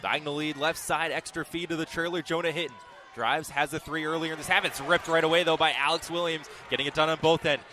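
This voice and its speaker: Male radio sports announcer: higher-pitched, forceful voice, clipped live basketball calls, arena noise behind. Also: quick pace. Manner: clipped descriptive phrases, excited calls on big plays. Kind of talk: live basketball play-by-play commentary.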